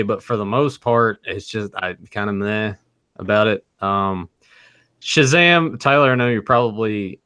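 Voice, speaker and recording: A man hosting a casual movie podcast, giving low-key, somewhat hesitant opinions. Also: pushed and bright, smooth, no room sound, clean studio sound. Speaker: podcast host.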